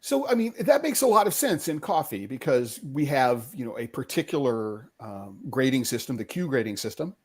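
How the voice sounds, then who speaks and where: A man hosting a live stream, his voice mid-pitched and conversational. He talks in relaxed, loose sentences and breaks them up with ums and restarts. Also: normal speed, hardly any pauses, slightly rough. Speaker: host. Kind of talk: casual live-stream interview.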